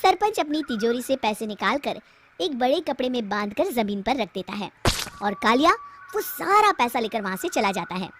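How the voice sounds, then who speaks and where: Steady woman narrator, high voice, light background noise. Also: moderate pace. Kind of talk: scripted storybook narration.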